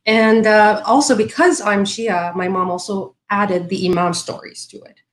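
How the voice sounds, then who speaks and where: A woman's voice: medium pitch, fairly even intonation, moderate pace, roomy sound, slight background noise. A woman in her thirties from Saudi Arabia, a guest telling her story in a casual interview, talking with many fillers and restarts. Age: 30s.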